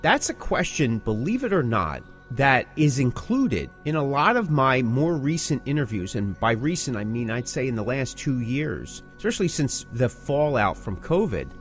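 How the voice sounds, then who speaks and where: Animated middle-aged man talking, music behind. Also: mid-range voice, moderate pace, few pauses. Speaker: podcast host who interviews musicians. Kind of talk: casual podcast conversation.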